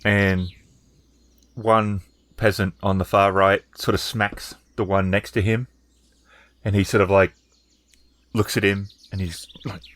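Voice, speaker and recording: A man narrating a tabletop game as game master, improvising with halting, hesitant phrasing. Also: fairly low pitch, level tone, fairly bright tone, no room sound.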